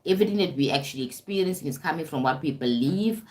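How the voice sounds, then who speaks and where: A woman's voice: low-pitched, animated, fast talker. A woman hosting a casual self-development livestream, chatty, often stumbling over words and checking in with viewers. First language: English. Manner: warm-toned chatty, calls viewers "sweetie pie", frequent "right?" and restarts. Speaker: livestream host.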